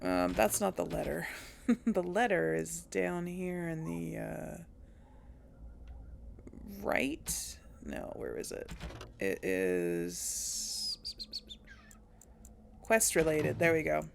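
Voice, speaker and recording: Slow-talking woman with a gravelly edge. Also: sits low, big pitch swings, a little hiss or hum. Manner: chatty, amused, thinking aloud. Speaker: let's play host. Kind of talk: casual gameplay commentary.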